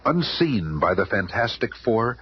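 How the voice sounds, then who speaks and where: A man's voice: on the low side, very animated, measured pace. A man narrating a superhero radio drama in a grave, theatrical style. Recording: muffled, noisy old radio broadcast.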